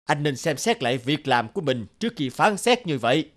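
A man's voice: on the high side, very animated, a little fast. A man voicing a modest older bicycle repairman in a cartoon, admonishing in a plain, matter-of-fact way. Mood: reproachful. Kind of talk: cartoon dubbing.

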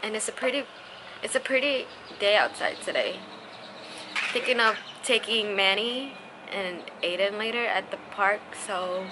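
A woman's voice: mid-range voice, unhurried. A young woman chatting casually to camera in a home vlog, rambling with fillers. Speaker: family vlogger. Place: home kitchen and outdoor patio. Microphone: handheld camera at arm's length. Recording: noisy home video audio.

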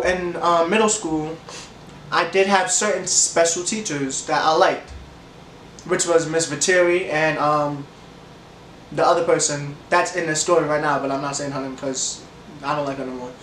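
A young man with a stuffy nose, talking in a fairly high voice, rambles through a casual story time with lots of laughs and restarts. The room has a noticeable echo, and the camera mic sits a little way off with a faint hum behind him. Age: young adult. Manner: rambling, animated, laughs often, hand gestures. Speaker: vlogger. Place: room with leather couch, indoors. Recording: echoey room, camera a bit far.

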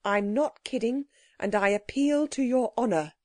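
A woman's voice: fairly high pitch, measured pace, darkish tone, no echo, clean studio sound. A woman voicing an earnest young boy who gives urgent, insistent commands.

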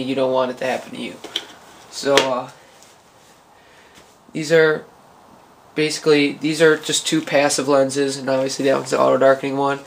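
A man casually talking through welding gear in a garage workshop, relaxed, with lots of uh fillers. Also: medium pitch, slight background noise. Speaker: hobby welder showing gear. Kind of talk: casual how-to vlog. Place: garage workshop with concrete floor.

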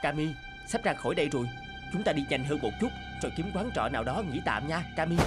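A man voicing the male characters in a dubbed cartoon folk tale, with animated, emotional delivery. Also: a little high, fast talker. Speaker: voice actor doing all male parts. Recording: music bed under voice.

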